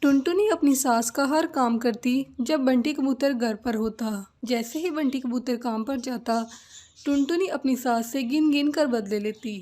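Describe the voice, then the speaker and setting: A woman storyteller with a high voice. She reads scripted narration for a children's cartoon fable, setting out the tale line by line. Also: measured pace, darkish tone.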